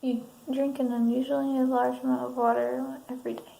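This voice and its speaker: A woman doing a soft-spoken ASMR counselor roleplay, reading out assessment statements in an unhurried way with pauses. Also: high voice, flat delivery, gravelly. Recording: roomy sound, faint background noise.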